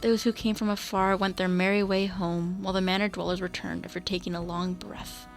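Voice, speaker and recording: A woman's voice: on the low side, flat delivery, brisk, soft background music. A woman narrating a radio drama in a scripted storytelling style.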